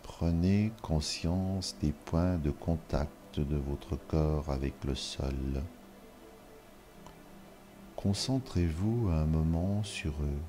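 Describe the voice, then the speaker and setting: A man leading a guided relaxation meditation in short, measured instructional phrases with long pauses between them. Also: very deep voice, dark tone, faint music behind. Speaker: yoga nidra guide.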